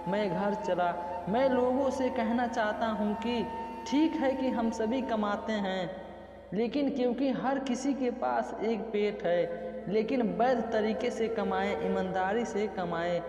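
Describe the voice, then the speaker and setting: High-pitched, slow male storyteller. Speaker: story narrator. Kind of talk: scripted first-person moral story narration. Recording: muffled, echoey reverb with loud background music. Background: music.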